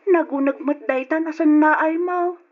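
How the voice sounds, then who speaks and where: A woman's voice: high-pitched, normal speed, narrow, tinny audio, close to an everyday voice. A woman playing a kindly elderly grandmother who gives soothing, emphatic advice in an old radio drama, with a Filipino accent. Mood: reassuring.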